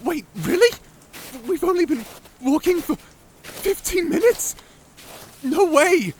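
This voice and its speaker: A man's voice: extremely high pitch, animated, takes it slowly, slight background noise, full character voice. A man playing a shy, nerdy young wizard who rambles anxiously, stammering and apologizing in a fantasy audio roleplay. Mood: shivering, whiny.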